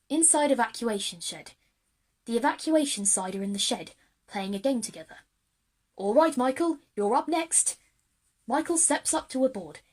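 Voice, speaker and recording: A woman's voice: on the high side, a little mellow, husky. A woman reading scripted present-tense narration for an audio drama.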